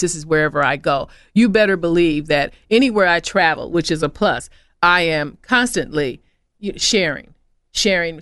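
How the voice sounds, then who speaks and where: Low-voiced, chatty middle-aged woman. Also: no room sound, studio quality. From Chicago, USA. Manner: animated, repeats words and restarts often, laughs easily. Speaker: guest, travel business owner. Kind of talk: casual conversational interview.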